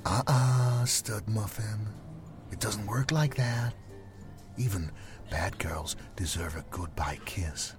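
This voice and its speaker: A man's voice: fairly low pitch, slow pace. Seductive female pop-up ad, acted by a male narrator: brazen, teasing, storybook read, faint music. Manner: teasing, sultry.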